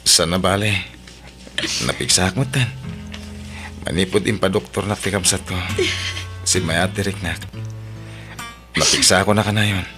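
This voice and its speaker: A man playing a low-voiced pleading suitor. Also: normal speed, pauses often, pushed and bright. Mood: tender. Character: man playing husband, boss and father roles. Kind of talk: radio drama acting. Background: background music.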